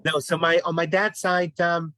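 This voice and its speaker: A man's voice: fairly high pitch, level tone, moderate pace, pushed and bright. A man from Denmark, a guest in a casual online interview, telling his family story in a rambling way with many restarts and fillers.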